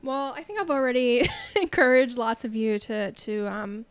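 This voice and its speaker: Woman, podcast guest, thoughtful and hesitant, fairly high voice. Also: lively intonation, moderate pace, smooth, no echo. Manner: thoughtful, many fillers and soft restarts. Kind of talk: casual chat.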